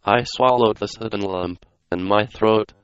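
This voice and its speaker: Smooth, low synthetic male narrator. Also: a little slow, no echo. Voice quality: synthetic text-to-speech. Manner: flat, non-dramatic delivery. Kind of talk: computer-read scripted narration. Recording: dull lo-fi synthetic audio.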